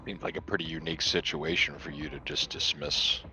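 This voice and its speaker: Really deep voiced guy playing tabletop, bright forceful edge, casual. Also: steady tone, fairly quick, low-quality recording. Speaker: tabletop game player. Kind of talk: casual game chat.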